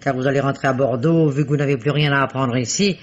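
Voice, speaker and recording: An actress playing a fussy, chattering housekeeper who worries, in a 1950s radio drama. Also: female voice, a bass voice, fast. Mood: fussing. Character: fussing, worried female housekeeper. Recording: muffled old radio recording with faint hiss.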